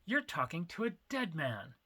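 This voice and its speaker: A man reads as a thin, menacing male drug boss in a thriller story. He is cool and dispassionate, with a flat, composed delivery in a bright, firm voice. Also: a little high, normal speed, husky, heavily acted. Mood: cool menace. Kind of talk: dramatic story reading.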